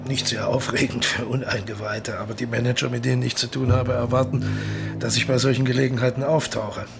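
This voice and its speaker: Actor as urbane, self-assured aging colonel. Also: male voice, mid-range voice, level tone, a little fast, vocal fry, over loud music, close to an everyday voice. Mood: evasive politeness. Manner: authoritative without barking, politely bored. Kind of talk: noir radio play dialogue.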